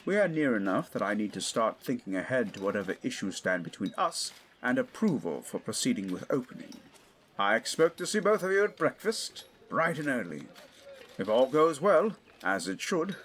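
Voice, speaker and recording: A man's voice: mid-range voice, big pitch swings, normal speed, a bit bright, a little hiss or hum. Guy acting 1920s english archaeologist dad, middle aged, formal n professorial, really swoopy eager delivery, audio drama. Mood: businesslike, eager.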